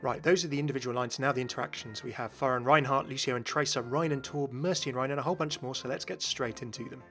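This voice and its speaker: A man's voice: mid-range voice, fast talker, a bit bright. A man hosting informal scripted video game commentary. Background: faint background music.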